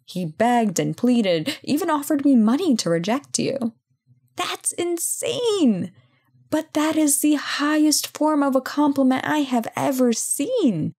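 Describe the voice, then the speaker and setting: A woman playing a self-assured but guilt-ridden CEO and former bully in an intimate audio roleplay, speaking conversationally with hesitant pauses. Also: high voice, very animated, a little mellow, full character voice. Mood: assertive, persuasive. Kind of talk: intimate ASMR roleplay acting.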